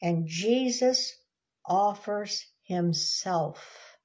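An older woman giving a devotional reading with commentary, unhurried and emphatic, savoring and repeating words. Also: mid-range voice, expressive, a little mellow. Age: older, about 70s. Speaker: devotional reader and Bible teacher. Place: small indoor room, seated.

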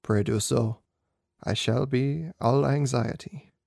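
A man's voice: mid-range voice, slow, gravelly, a little mellow, no echo, studio quality. A man voicing an anxious, proud young king in a storybook reading, solemn and emphatic. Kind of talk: amateur audiobook character reading.

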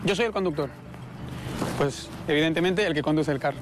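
A man's voice: fairly high pitch, a little fast. A man in his thirties from Ecuador, a soldier answering interview questions. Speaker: soldier, tank driver. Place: outdoors at a military base beside tanks. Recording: loud outdoor vehicle and ambience noise.

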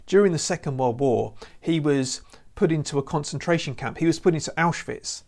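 A man's voice: a little high, fairly quick, a little mellow. A man preaching a recorded sermon to camera in an earnest, conversational way, often saying "you know.". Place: indoor room with lamp and church banner. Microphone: lapel mic.

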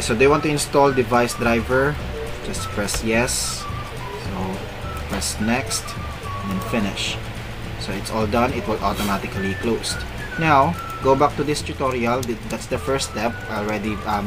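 A man's voice: on the low side, low-quality recording. Man with hesitant, filler-heavy tutorial talk, music behind. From Philippines. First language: Filipino. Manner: casual, hesitant, many fillers and self-corrections. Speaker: tech tutorial host. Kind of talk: screen-recorded tech walkthrough.

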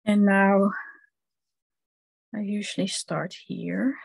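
A woman with a Dutch accent leads a live online craft class, speaking slowly and haltingly as she works. Her pitch sits at an ordinary middle level, and she often stops to fill the gaps with ums while her hands are busy at the table. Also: steady tone, vocal fry, husky. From Netherlands. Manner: slow, halting, many ums, hands-on explaining. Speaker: craft instructor.

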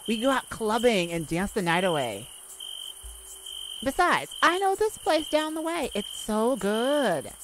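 A woman playing an outgoing, playful, sassy young best friend in an audio roleplay, theatrical and teasing, with drawn-out words. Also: fairly high pitch, big pitch swings, background noise. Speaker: ASMR roleplay voice actor. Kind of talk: ASMR audio roleplay, addressed to a listener.

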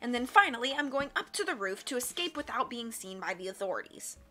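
A cruel, theatrical villain gloats over his victims with mocking laughter and growling threats, as a woman puts him on. The voice runs bright and forceful, pitched a touch high, with faint music underneath. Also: sing-song, quick pace. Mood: gloating.

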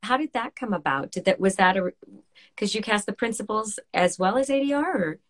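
A woman's voice: medium pitch, animated, fairly quick. A woman hosting an interview podcast, asking friendly questions with small laughs. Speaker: podcast host. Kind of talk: casual interview.

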